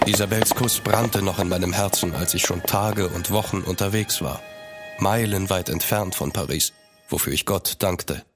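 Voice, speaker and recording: An actor playing a gentleman traveller who narrates his journey in measured, literary audio drama style. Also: deep voice, loud music behind the voice. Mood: grave, reflective. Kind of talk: scripted first-person audio drama narration.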